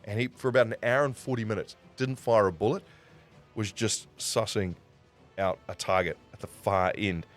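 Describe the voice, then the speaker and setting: A man with a New Zealand accent telling stories casually as a livestream host, in an animated raconteur's way with dramatic pauses. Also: fairly low pitch. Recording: music playing behind the voice. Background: background music.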